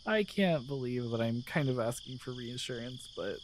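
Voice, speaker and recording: A performer voicing a gentle, devoted guardian angel in a romantic ASMR roleplay, speaking tenderly and hesitantly with earnest pauses. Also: medium pitch, animated, noisy background, close to an everyday voice. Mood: insecure. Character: gentle, devoted guardian angel, newly feeling, shyly in love.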